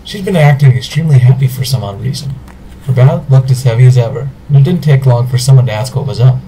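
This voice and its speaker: A man's voice: mid-range voice, fast, warm tone, a little hiss or hum, poor audio. A man reading scripted narration for an amateur audio drama.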